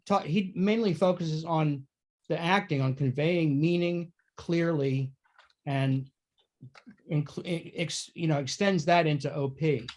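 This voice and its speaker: A man's voice: a little high, measured pace, a little mellow. A man speaking casually in a panel conversation, as an experienced practitioner and coach.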